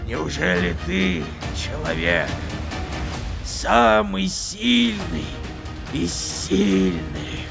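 Wailing, creaky gluttonous ogre. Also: male voice, on the high side, huge pitch swings, over music, exaggerated voice. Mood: anguished, questioning. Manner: drawn-out wailing questions with repeated calls. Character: gluttonous male ogre, dying, pleading and questioning.

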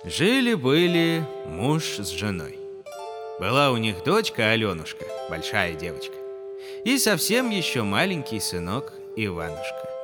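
A man's voice: fairly low pitch, wildly swooping pitch, unhurried. A man narrating a fairy tale in a scripted storybook style. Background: plucked guitar music.

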